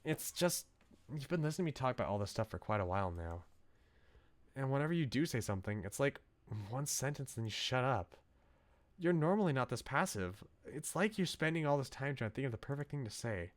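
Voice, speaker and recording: A man's voice: medium pitch, sing-song, fairly quick, natural voice. A man voicing an anxious, frustrated young woman from a visual novel in an earnest, pleading dramatic reading. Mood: weary, earnest. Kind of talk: dramatic reading of game dialogue. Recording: game music behind the voice.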